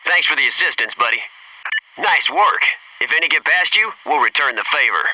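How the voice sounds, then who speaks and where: An actor playing a focused, businesslike Navy SEAL in a military video game, giving terse, clipped tactical callouts and matter-of-fact reports. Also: male voice, fairly high pitch, very animated, normal speed, recorded from a distance, noisy background. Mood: comradely, brisk.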